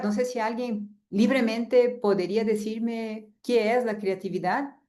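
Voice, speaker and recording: A woman's voice: mid-range voice, darkish tone. Woman lecturing online. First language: Portuguese. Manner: chatty, often adds a tag "¿no?". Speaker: guest lecturer. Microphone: video call.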